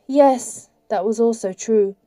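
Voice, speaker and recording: A woman's voice: on the high side, slow pace, mellow, no echo, studio quality. A woman voicing an anguished, devoted young woman under a spell in a storybook, faltering and pleading. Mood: despairing.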